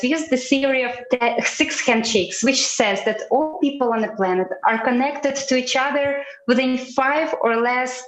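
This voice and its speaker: Woman hosting a live stream, kinda high voice, lots of ums, roomy echo. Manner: friendly, hesitant with many fillers. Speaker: co-host.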